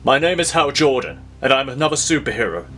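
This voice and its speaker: Earnest, steady space-cop superhero, acted by a man. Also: medium pitch, quick pace. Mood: calm, earnest.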